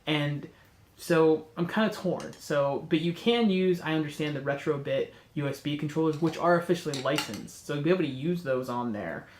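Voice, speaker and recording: A man with a cold speaks in a nasal, congested voice that sits a little high. He rambles enthusiastically and corrects himself often as he hosts a casual chat. The room sounds echoey, with a faint hiss underneath. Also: moderate pace. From North America. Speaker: host.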